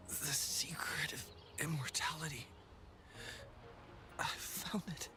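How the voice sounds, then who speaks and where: A man's voice: fairly high pitch, steady tone, takes it slowly, in a whisper. An actor playing an injured young male explorer in a game, weak and pleading. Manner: pleading, insistent.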